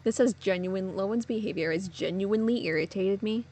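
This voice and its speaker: A woman's voice: mid-range voice, a little fast, mellow. A woman giving casual let's play reaction commentary, blunt, profane and sardonic.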